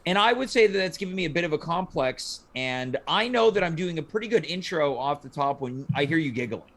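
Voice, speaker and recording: A male host with a slightly high voice and lively, swinging intonation. He speaks in a deliberate, theatrical way, setting up his show and bantering with pauses for effect.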